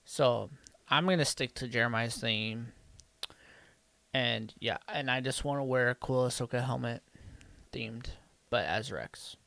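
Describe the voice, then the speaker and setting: A man's voice: medium pitch, a little slow, gravelly, sharp tone, dry recording. A man co-hosting a podcast in casual banter. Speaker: podcast co-host.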